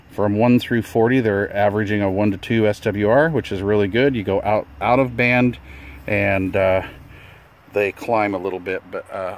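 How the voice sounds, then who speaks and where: A man giving a casual, plain-spoken hobbyist walkthrough, explaining a setup in informal words as he records on a handheld camera outdoors. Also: on the low side. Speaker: amateur radio hobbyist presenting his setup. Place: outdoors beside a camper trailer, briefly inside it. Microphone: handheld camera or phone, held by the speaker.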